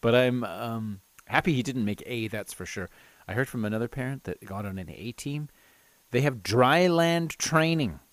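A man's voice: mid-range voice, normal speed, no echo. Chatty middle-aged man, close dry sound. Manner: animated, self-amused, chatty, laughs through stories. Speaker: podcast host. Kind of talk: casual solo storytelling and ranting.

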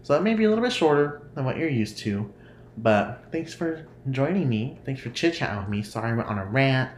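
A man's voice: medium pitch, sing-song, brisk. Casual solo podcast host, man, nasal, giggly and rambling; roomy echo with faint background noise. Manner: rambling, giggly, laughs often.

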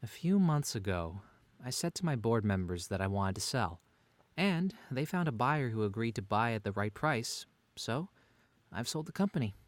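Actor as doting, wry young father for radio play, lively swinging intonation, no room sound. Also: medium pitch, normal speed, no echo, natural voice. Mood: earnest. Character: playfully bickering, doting stay-at-home dad. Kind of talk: two-hander audio drama reading.

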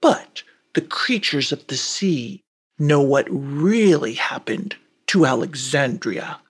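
A small, friendly boy fish from a storybook, cheerful and chatty, as a woman reading aloud puts him on. He talks slowly with a lively, bouncing pitch and a slightly breathy tone. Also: full character voice. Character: small, friendly male red fish with spiky fins.

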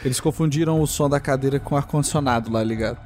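A man plays a tabletop game, talking casually in character. His voice is average in pitch, with clear rumbling noise on the recording. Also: very fast.

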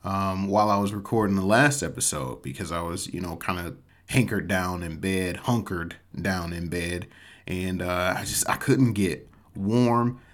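A man in his thirties talks through his podcast in a loose, conversational way, with a voice pitched a bit low. His voice still sounds slightly off from a recent illness. He keeps things easygoing and self-mocking, sprinkling "man" through his sentences. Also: a little slow. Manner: laid-back, chatty, says "man" a lot, self-mocking. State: voice still a little off after illness. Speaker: podcast host. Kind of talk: casual solo podcast talk.

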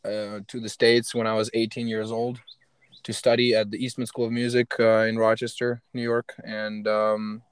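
A man's voice: lowish voice, flat delivery, moderate pace, smooth. A young man from western Ukraine, a violinist guest, talking in a laid-back, slangy way with casual swearing. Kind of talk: casual interview chat. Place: outdoors by a road with passing cars.

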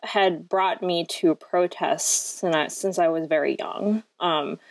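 A woman's voice: fairly low pitch, takes it slowly, no echo, rough recording. A woman raised in France and Hong Kong, talking as an activist guest in a casual interview, with many ums and restarts. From born in France, raised in Hong Kong.

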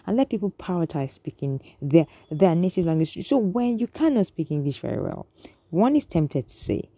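Low-voiced Nigerian woman lecturing. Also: animated, moderate pace, mellow, husky, no room sound. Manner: earnest, lecturing, frequent restarts. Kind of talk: opinion monologue to an audience.

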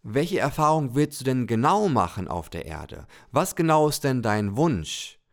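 A patient and kindly God who explains things gently and calmly, in a storybook podcast tale told by a man. His voice stays measured, as if talking to a child. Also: mid-range voice. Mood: explaining. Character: calm, kindly male God, patient and wise.

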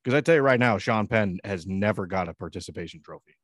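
Low-voiced, profane man in casual banter. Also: expressive, fast talker, no echo, studio quality. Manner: profane, rambling, interjecting. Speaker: talk show co-host.

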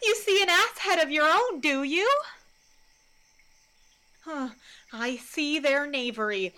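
A woman's voice: high-pitched, expressive, takes it slowly, bright, forceful tone, full character voice. A woman playing a boastful, stubborn male weaver with theatrical declamation in a Shakespearean audio drama. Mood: defiant.

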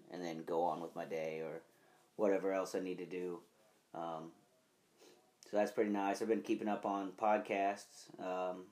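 A man's voice: fairly low pitch, darkish tone. A man co-hosting a wrestling podcast in casual chat, a bit stuffy with a lingering dry cough. He talks in a laid-back, hesitant way, full of "um" and "like". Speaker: podcast co-host.

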